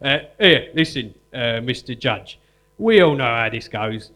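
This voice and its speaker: Actor as a dim, excitable crook, lively pitch. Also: male voice, unhurried. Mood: wheedling. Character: dim, excitable accomplice, petty crook and artist. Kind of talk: live comedy sketch acting.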